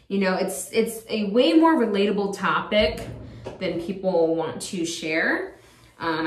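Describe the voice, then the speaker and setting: A woman in her thirties talking casually to her viewers in a rambling, chatty way, full of fillers. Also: medium pitch. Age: 30s. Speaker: vlogger. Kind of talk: casual chat to camera. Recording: echoey room, a bit far from the mic.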